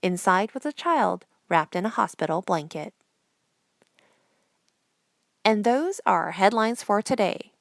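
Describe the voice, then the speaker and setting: A woman presenter reading scripted news headlines in a measured, formal newsreader delivery. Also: medium pitch, expressive, vocal fry, no echo, studio quality.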